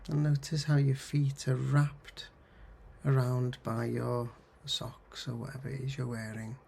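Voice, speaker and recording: A man leading a guided meditation in a husky, gravelly voice, giving gentle, soothing instructions in short phrases with pauses. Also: mid-range voice, flat delivery, slight background noise. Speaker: counsellor guiding mindfulness. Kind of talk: guided meditation, body scan.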